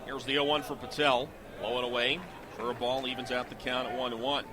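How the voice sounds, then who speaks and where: A middle-aged man calling live sports on the radio as a play-by-play announcer, in measured, clipped phrases. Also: mid-range voice, level tone, noisy background.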